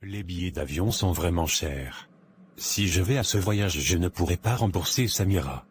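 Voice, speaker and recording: A man playing a vain, broke young office worker who lives beyond his means in an animated moral tale. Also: sits low, normal speed, slight background noise. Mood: defiant.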